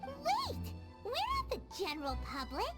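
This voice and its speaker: A tiny floating fairy sidekick in a video game, with an extremely high, childlike squeak. The voice bounces around excitably and indignantly over prominent music. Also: female voice, a little slow, heavily acted. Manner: refers to self in third person, exclamatory. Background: background music.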